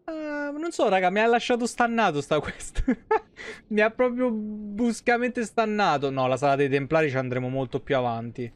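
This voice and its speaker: A man's voice: high-pitched, normal speed, clear, resonant tone. A man in his thirties giving casual, slangy, sweary and sarcastic live commentary on a game. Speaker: game streamer. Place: small room at home, indoors.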